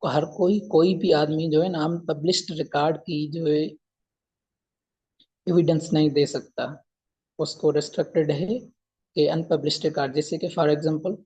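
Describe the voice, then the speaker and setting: A man giving an informal explanatory lecture, haltingly and with repetition, mixing English legal terms into his speech. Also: on the high side, fairly even intonation, a little mellow, breathy edge, rough recording. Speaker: law lecturer.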